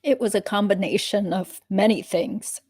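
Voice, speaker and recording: Mellow, guarded woman reading as a female witness. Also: medium pitch, slightly rough, natural voice. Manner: terse, measured, guarded answers. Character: guarded adult female witness being deposed. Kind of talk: dramatic reading of a legal deposition transcript.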